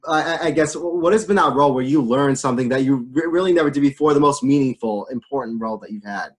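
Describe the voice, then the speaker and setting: A young man in his twenties hosting an interview over a video call, asking questions in a relaxed, hesitant way. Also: mid-range voice, quick pace. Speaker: interview host. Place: home room with posters, indoors. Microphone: webcam on video call.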